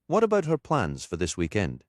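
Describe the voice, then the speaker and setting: A man's voice: fairly low pitch, huge pitch swings, moderate pace, no room sound, clean studio sound. A man voicing a persistent male detective with a direct, probing manner in an audiobook. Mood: pressing. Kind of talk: audiobook character dialogue.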